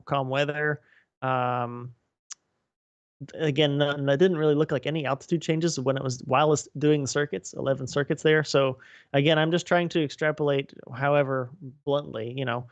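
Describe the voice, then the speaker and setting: A man's voice: on the high side, fairly even intonation, normal speed, no room sound, muffled recording. A man co-hosting a casual show, thinking aloud hesitantly with many fillers. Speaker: podcast co-host. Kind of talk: casual chat.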